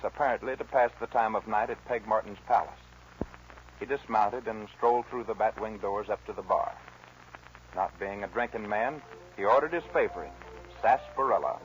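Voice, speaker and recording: A man with an American accent reading scripted narration for a 1940s radio western. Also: lowish voice. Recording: dull, hissy old radio recording.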